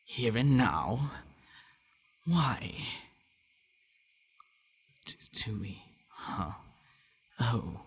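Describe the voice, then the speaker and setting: Gravelly, low-voiced gentle vampire boyfriend, acted by a man. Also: slight background noise, heavily acted. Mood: surprised, reluctant. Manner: halting, soft-spoken, often dropping to breathy murmurs. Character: gentle, devoted, bashful male vampire boyfriend. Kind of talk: ASMR boyfriend roleplay, intimate acting.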